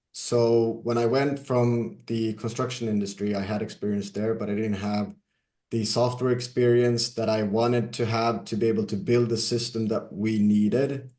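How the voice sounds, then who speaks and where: A man's voice: medium pitch, dark tone. Swedish-born man in an echoey room. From Sweden. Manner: measured, storytelling, with fillers. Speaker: guest, software company CEO. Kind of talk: casual interview conversation.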